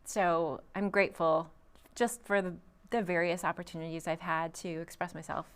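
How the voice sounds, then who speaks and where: A woman's voice: lowish voice, fairly even intonation, normal speed, smooth. A young adult woman, a trained singer, answering interview questions thoughtfully with frequent ums and restarts. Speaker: guest vocalist.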